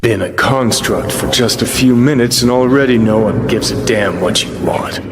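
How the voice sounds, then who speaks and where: A man playing a cynical, brash, world-weary rebel construct in a video game, with a gravelly voice and a blunt, sardonic drawl. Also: mid-range voice, normal speed, a little hiss or hum, close to an everyday voice. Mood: bitter. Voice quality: gravelly, creaky.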